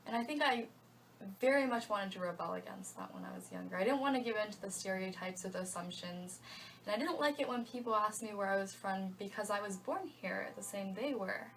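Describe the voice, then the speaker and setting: A young college woman from the United States speaks in an interview at a middle pitch. She is reflective and unhurried, pausing softly as she thinks. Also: distant mic, slight background noise, low-quality recording. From United States (Georgia). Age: young adult. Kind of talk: casual interview answers.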